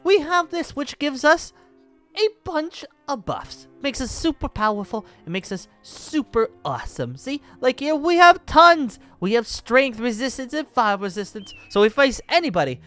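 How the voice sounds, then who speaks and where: A boastful celestial wizard for a Minecraft roleplay. The voice is smooth and wildly animated, full of grand, genteel flourishes and cackling glee. Soft music runs underneath. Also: male voice, very high-pitched, normal speed, heavily acted. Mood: showy, explaining. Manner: theatrical, flamboyant, cackling, pompous. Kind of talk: improvised Minecraft fantasy roleplay acting.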